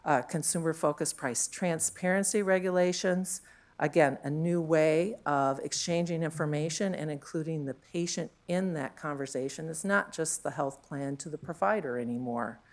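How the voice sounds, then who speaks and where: Low-voiced woman speaking on a conference panel. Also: moderate pace. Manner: chatty, many fillers and tag questions. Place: conference room. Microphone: handheld mic.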